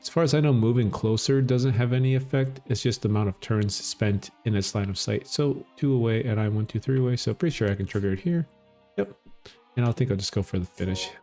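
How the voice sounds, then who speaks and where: A middle-aged man with a Minnesota accent, explaining a game in a relaxed, easygoing way. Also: mid-range voice, fairly quick, soft background music. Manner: relaxed, explanatory, chuckles often. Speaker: gaming channel host. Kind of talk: casual gameplay commentary.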